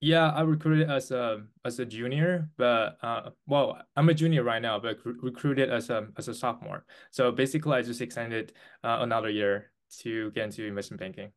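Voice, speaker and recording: Earnest young Taiwanese-born man. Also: medium pitch. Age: 20s. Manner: earnest, halting with restarts and fillers. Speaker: guest. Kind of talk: interview answers.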